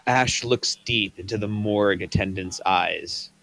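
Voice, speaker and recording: A man's voice: fairly low pitch, a little slow, fairly bright tone. A man reading stage directions at a table read, plain and matter-of-fact. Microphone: online voice call.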